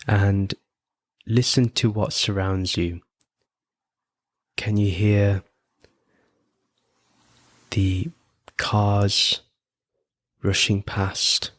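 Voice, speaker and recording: Man, guided meditation host, lowish even voice, gentle unhurried phrasing, very dry close sound. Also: no room sound. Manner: gentle, unhurried instruction with frequent pauses. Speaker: podcast host guiding meditation.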